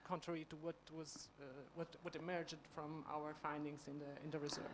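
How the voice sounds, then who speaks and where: A woman's voice: sits low, level tone, a little fast, background noise. A woman in the audience of a conference asking a long, earnest question with many restarts. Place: conference hall.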